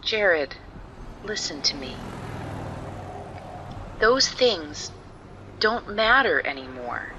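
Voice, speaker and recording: A woman's voice: on the low side, very animated, extremely slow, pauses often, highs cut off, noisy background, exaggerated voice. An actress playing a worried wife who pleads earnestly with her husband in an audio drama. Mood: pleading. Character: Maria, Jared's worried estranged wife.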